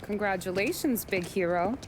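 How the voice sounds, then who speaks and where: An actress playing a tough, teasing young 1930s barmaid in a video game, with a dry manner. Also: female voice, mid-range voice, moderate pace, noisy background, natural voice. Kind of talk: video game cutscene acting.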